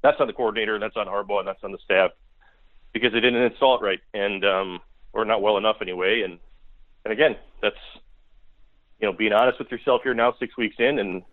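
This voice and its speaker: A man's voice: lowish voice, fast talker. An American man giving blunt, critical football analysis as a guest on a podcast, emphatic and repeating his points. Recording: tinny phone-line sound.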